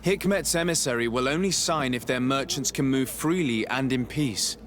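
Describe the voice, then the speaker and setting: An actor playing a composed, persuasive diplomat in a video game, calm, formal and direct. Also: male voice, mid-range voice, moderate pace, close to an everyday voice. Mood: firm, persuasive. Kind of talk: video game dialogue acting. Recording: clear ambient noise behind the voice.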